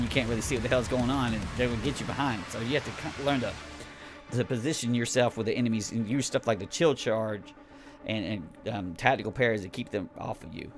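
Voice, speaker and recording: A man giving an unscripted, casual game review in a rambling, blunt, griping and sweary way. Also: mid-range voice.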